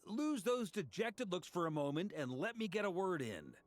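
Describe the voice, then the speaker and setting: Lively, rallying knight commander. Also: male voice, high-pitched, moderate pace. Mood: rallying, resolute. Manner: informal, rousing pep-talk delivery. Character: confident, rallying male knight commander, easygoing and gutsy. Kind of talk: video game voice acting.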